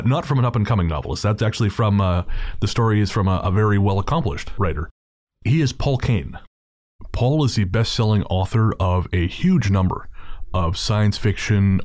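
Low-voiced man hosting a podcast. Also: darkish tone, no room sound, clean studio sound. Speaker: podcast host. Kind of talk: casual podcast introduction.

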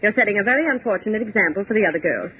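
Brisk, fast-talking stern lady boss. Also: medium pitch, expressive, husky. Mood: stern, reproving. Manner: formal, scolding, clipped. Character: stern, brisk female office supervisor, prim and authoritative. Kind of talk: 1940s radio acting. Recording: tinny, noisy 1940s radio recording.

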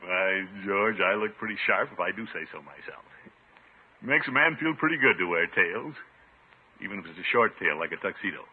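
An actor playing a pompous, excitable middle-aged uncle in a 1950s radio sitcom, blustery and self-satisfied. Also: male voice, low-pitched, a little fast. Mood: smug, jolly. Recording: tinny, hissy 1950s radio recording.

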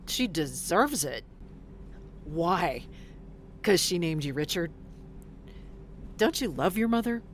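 A woman's voice: fairly low pitch, lively intonation, slow pace, long pauses, a little hiss or hum. An actress playing a blunt, weary middle-aged woman fresh out of prison in an audio drama, swearing in exasperation. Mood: exasperated.

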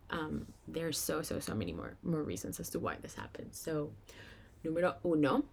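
Low-pitched young Venezuelan woman podcasting. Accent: Venezuelan Spanish. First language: Spanish. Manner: chatty, informal, giggles often while talking. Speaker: podcast host. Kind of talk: casual solo podcast monologue.

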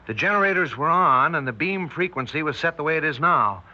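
An actor playing a shaken, anxious scientist recounting a horror in urgent, halting 1960s radio drama style. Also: male voice, on the high side, expressive, natural voice. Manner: urgent, haunted, halting delivery. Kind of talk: 1960s radio drama acting, narration and dialogue. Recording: dull vintage 1960s radio broadcast.

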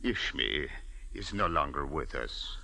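An actor playing a powerful, menacing hotel manager in 1940s radio drama style, with slow, smooth speech. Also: male voice, extremely low pitch, animated, sharp tone, no room sound, full character voice, poor audio. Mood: evasive.

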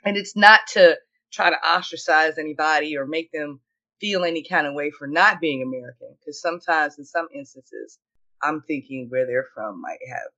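A woman's voice: deep voice, moderate pace, bright, forceful tone, dull audio. A middle-aged woman co-hosting a podcast, telling anecdotes in a chatty, emphatic and opinionated way. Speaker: podcast co-host. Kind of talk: casual conversation.

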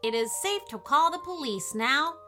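Storybook robot sidekick, played by a woman: loyal, polite, formal, raised pitch, bright tone. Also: animated, faint music behind. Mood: calm, protective. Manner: earnest, formal, measured speech. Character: Chester, friendly loyal robot guard, toy-sized.

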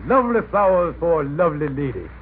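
An actor playing a pompous, scheming husband with florid, folksy speech in old radio comedy style. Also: male voice, a little high, very animated, fast, breathy edge, heavily acted. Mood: boastful, eager. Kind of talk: 1940s-50s radio comedy acting. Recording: tinny, noisy old-time radio recording.

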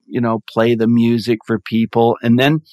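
A man, a podcast guest, telling his story in a calm, reflective, measured way. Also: medium pitch. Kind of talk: interview storytelling.

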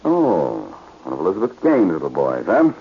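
Bossy clever detective type, deep husky voice, forties radio drama, dull old recording. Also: male voice, huge pitch swings, normal speed, exaggerated voice. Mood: wary, commanding. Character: shrewd, commanding male amateur sleuth. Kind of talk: 1940s radio acting.

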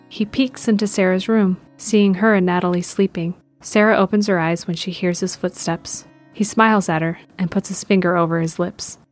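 A woman reading scripted present-tense narration for an audio drama. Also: lowish voice.

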